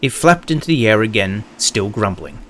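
A man's voice: on the low side, very animated, moderate pace, fairly bright tone. A man reading scripted narration as the narrator of an audio production. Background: background music.

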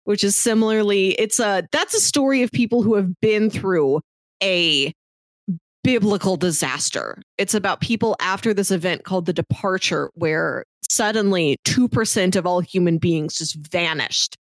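A woman's voice: medium pitch, moderate pace, dry recording. A woman co-hosting a casual review podcast, talking analytically and articulately and expanding on her thoughts at length. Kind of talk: casual film and TV review chat.

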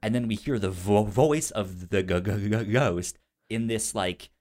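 Stuttering man with a low voice. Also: measured pace, a little mellow. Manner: stutters, repeating sounds and syllables. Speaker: film podcast co-host. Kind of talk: casual movie discussion.

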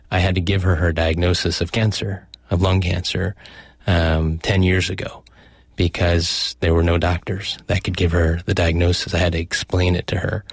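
Low-voiced middle-aged man, physician guest, measured explainer, dry booth sound. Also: no room sound. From United States. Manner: thoughtful, explanatory, with fillers and self-corrections. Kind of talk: conversational interview.

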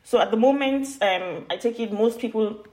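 A woman's voice: a little high, moderate pace, a little mellow. Nigerian woman in an echoey room. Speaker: guest, university postgraduate admissions officer. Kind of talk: conversational podcast interview. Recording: roomy, echoey sound.

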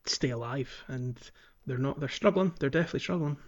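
A man's voice: mid-range voice, fairly quick, muffled recording. A man co-hosting a casual video game podcast, talking in a hesitant way as he searches for his words. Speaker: podcast co-host.

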